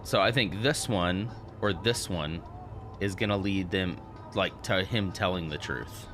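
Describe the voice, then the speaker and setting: A man's voice: low voice, measured pace, over music. A man streaming a game, joking and chatting as he plays, laughing often. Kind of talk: casual let's play commentary.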